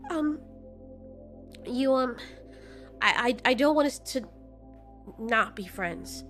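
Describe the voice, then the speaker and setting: A woman's voice: fairly high pitch, animated, slow pace, fairly bright tone, soft background music. A woman voicing an anxious, caring female giant moth with an earnest, hesitant, pleading manner in an audio story.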